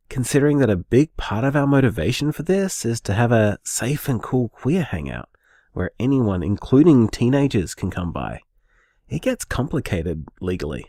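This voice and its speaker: A man acts out an affectionate, anxious boyfriend in an audio drama. The voice is lively in pitch and recorded dry and close, with earnest, hesitant, informal phrasing. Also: no echo, lightly acted. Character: anxious, affectionate, conscientious boyfriend planning a bar. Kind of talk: naturalistic audio drama monologue.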